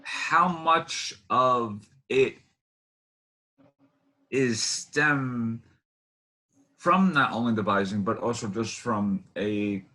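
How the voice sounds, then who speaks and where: Guy interviewer on a video call, bright kinda punchy voice, stumbles around his questions, repeats bits. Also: medium pitch. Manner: halting, circling phrasing with repetitions. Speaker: interview host.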